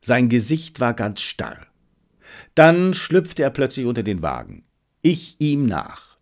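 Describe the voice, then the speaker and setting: A man's voice: mid-range voice, wildly swooping pitch, slow. A man narrating a detective adventure audiobook with dramatic, suspenseful delivery.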